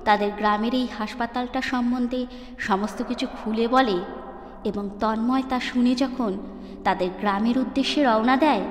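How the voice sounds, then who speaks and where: High-voiced woman narrating ghost stories, heavy reverb. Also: fairly even intonation, moderate pace, slight background noise. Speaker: storyteller, channel narrator. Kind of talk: dramatic ghost story narration. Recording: echoey long reverb, music underneath.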